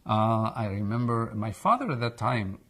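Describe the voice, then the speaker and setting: An older man from Egypt with a foreign accent, reflecting haltingly in an oral history interview. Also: on the low side, very animated, fairly bright tone. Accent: foreign English accent.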